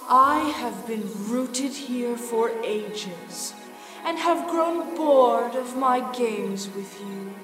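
A woman's voice: a little high, animated. A woman playing an ancient magical flower spirit, cold, menacing and imperious, in an audio play. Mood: cold, taunting. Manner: slow, deliberate, imperious. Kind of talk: audio play character acting. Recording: long echoing reverb, music underneath. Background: music.

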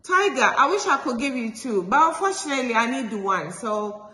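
Lady doing a little ant, high and sweet, kinda sorry-sounding, echoey. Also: very animated, brisk, sharp tone, a little hiss or hum, full character voice. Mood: friendly. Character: small gentle friendly male ant.

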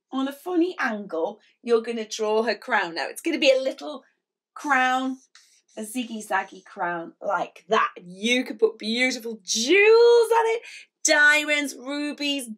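A middle-aged woman leading a children's drawing lesson in a chatty, encouraging, animated way. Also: sits high. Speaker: illustrator leading a children's draw-along. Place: small indoor room at a whiteboard.

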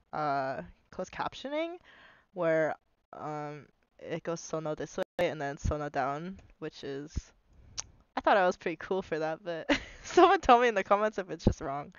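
Slow, nasal young woman with a cold. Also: on the low side, clear, resonant tone, no room sound, lo-fi sound. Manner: rambling, filler-heavy, self-deprecating. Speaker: animator explaining her video. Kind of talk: casual screen-recorded walkthrough.

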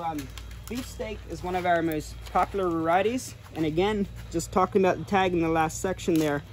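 Young man, fairly high voice, informal explanatory guide talk, handheld video, faint noise. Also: darkish tone. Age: young adult. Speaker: greenhouse tour guide. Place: large greenhouses and garden center yard. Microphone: camera or phone a few steps away. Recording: clear background noise, handheld camera video.

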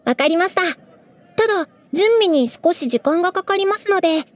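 A woman's voice: very high-pitched, lively intonation, normal speed. A woman playing a polite, unflappable young waitress in an anime game, with a sweet, courteous service tone. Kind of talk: Japanese anime game voice acting.

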